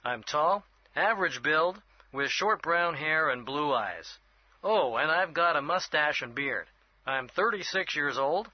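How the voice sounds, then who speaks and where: A man's voice: on the high side, expressive, a little slow. A man in his thirties acting a sporty gym teacher introducing himself. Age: 30s. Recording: dull lo-fi audio.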